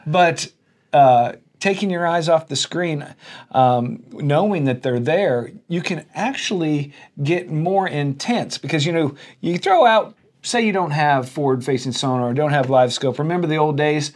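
Higher-voiced man explaining things conversationally. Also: unhurried. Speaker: podcast guest, fishing show presenter. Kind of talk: casual interview chat. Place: indoor office-like room. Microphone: clip-on wireless mic.

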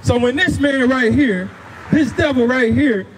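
High-pitched, emphatic male street preacher. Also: a little slow, long reverb tail. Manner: emphatic, repeats phrases, commands a reader. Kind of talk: confrontational open-air street preaching. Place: outdoors on a grassy housing-project lawn. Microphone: handheld microphone through a portable speaker. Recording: noisy outdoor street recording.

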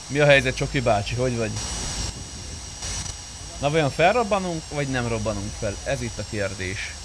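Man gaming, clear noise behind. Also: mid-range voice, expressive. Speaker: streamer playing an online roleplay game. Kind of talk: casual gameplay chat with other players.

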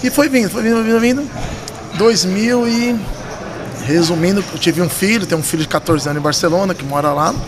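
A man's voice: sits high, fairly quick, background noise. A man in his thirties with a São Paulo Brazilian accent, telling stories casually and animatedly in a podcast interview, slangy and repeating words for emphasis. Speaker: podcast guest, poker dealer and tournament director. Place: poker club room, indoors. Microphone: vintage-style desk microphone. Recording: busy room crowd murmur behind.

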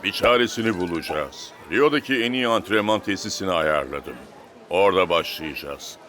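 Forceful, deep-voiced football coach. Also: male voice, expressive, normal speed, a little hiss or hum. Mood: reassuring. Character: upbeat, commanding male football team coach. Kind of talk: animated cartoon dub.

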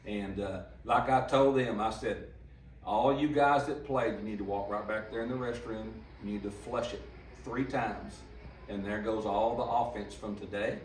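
Older texas coach at a press table, blunt and emphatic, kinda roomy and distant sound. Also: male voice, fairly low pitch, steady tone, normal speed, a little hiss or hum. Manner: plainspoken, emphatic, repeats for stress. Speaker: head basketball coach. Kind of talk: post-game press conference answers. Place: press conference room, seated at table. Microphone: table mic on stand, camera some distance off. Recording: roomy, slightly distant mic pickup.

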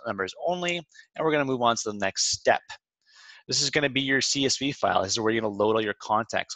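Male tutorial presenter, medium pitch, informal instructional delivery, semi-scripted, dry voice-over sound. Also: a bit bright, no echo. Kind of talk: walkthrough narration of a screen demo, semi-scripted. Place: close dry voice-over for a screen recording.

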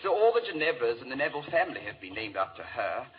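An actor plays a worried English lord in an old 1940s radio drama. He recounts things in an animated, slightly hesitant way. The recording sounds dull. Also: medium pitch, quick pace, a little hiss or hum, lightly acted. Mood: dramatic recounting.

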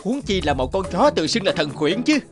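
A young mandarin from an animated folk tale. The voice sits high and moves expressively, performed theatrically with faint music underneath. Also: male voice, moderate pace. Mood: scornful. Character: arrogant young male mandarin, later humbled and contrite.

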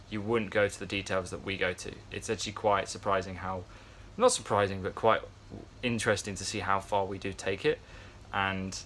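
A man's voice: fairly low pitch, fairly bright tone, a little hiss or hum. Man rambling casually to his phone. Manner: rambling, many fillers and restarts. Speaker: yacht crew vlogger. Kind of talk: casual vlog chat to camera. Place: yacht crew area, indoors, fan nearby. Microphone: phone camera at arm's length.

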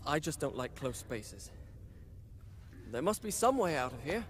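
A man playing a remorseful, proud and wry young warrior prince in a video game, with a measured, dramatic delivery. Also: on the high side, big pitch swings, slight background noise. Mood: uneasy. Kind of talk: video game cutscene acting and dramatic narration.

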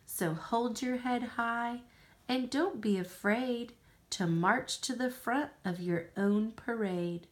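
Slow-paced American woman reading a picture book. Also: fairly high pitch. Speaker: parent reading aloud to a young child. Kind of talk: picture book read-aloud.

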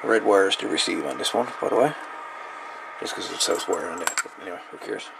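Gravelly man over clear background noise. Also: low-pitched, quick pace. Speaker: electronics hobbyist demonstrating a DIY radio project. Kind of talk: casual hands-on tutorial, unscripted. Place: indoors at a cluttered electronics workbench. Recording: noisy camera microphone at a workbench.

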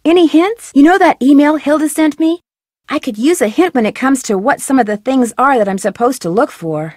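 A curious, persistent young female detective fires off friendly, direct questions in a studio game recording. Her voice sits a little high, and the sound is close and dry with no room around it. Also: dry recording. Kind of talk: game voice acting, studio lines. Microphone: close studio mic.

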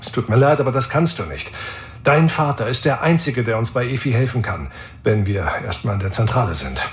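An actor voicing a starship captain who gives orders firmly in a sci-fi audio drama. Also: male voice, mid-range voice, expressive, brisk, lightly acted. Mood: commanding.

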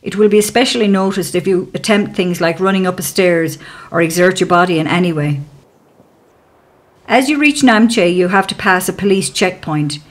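A woman giving calm, measured, scripted narration for a travel documentary as a voice-over. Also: on the low side.

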